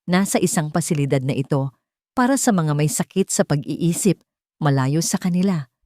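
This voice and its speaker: Low, mellow Filipina storyteller. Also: female voice, expressive, moderate pace, no echo, studio quality. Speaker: narrator of love stories. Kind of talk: dramatic scripted narration of a romantic audio story.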